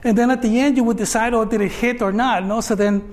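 A man in his fifties with a Mexican accent talks about his work on stage. His voice sits high for a man. He pauses often and tags many of his phrases with a questioning "no?". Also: steady tone. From Mexico, Tijuana. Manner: hesitant, filler-heavy, frequent tag "no?". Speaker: artist guest. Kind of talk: conversational talk on stage.

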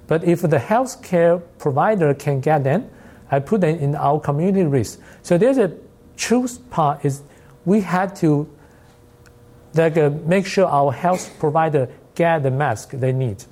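Mellow-voiced lecturer with a Taiwanese accent. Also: mid-range voice, lively intonation, unhurried. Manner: non-native English with loose grammar, rhetorical questions. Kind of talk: informal academic presentation.

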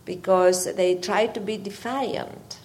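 A woman's voice: mid-range voice, fairly even intonation, takes it slowly, darkish tone. A woman from Greece with a Greek accent, a university faculty member commenting in careful, hesitant phrases at a public discussion.

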